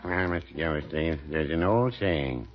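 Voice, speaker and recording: Deadpan druggist. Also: male voice, very deep voice. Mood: dry. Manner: slow, dry, deadpan. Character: mild, dry small-town druggist, middle-aged. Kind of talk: 1940s radio acting. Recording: dull old radio broadcast with loud hiss.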